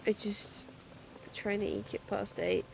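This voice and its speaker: A woman's voice: mid-range voice, steady tone, measured pace, vocal fry, background noise. A woman hosting a game livestream, chatting casually and commentating as she plays. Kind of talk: casual gameplay commentary, reading game text aloud. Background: game music behind the voice.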